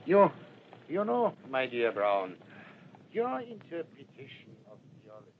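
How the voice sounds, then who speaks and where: A man's voice: mid-range voice, wildly swooping pitch, a little slow. An actor playing a jovial, talkative clergyman in an old-fashioned radio drama, chummy and exclamatory. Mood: amused, chummy. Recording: dull lo-fi recording, clear noise.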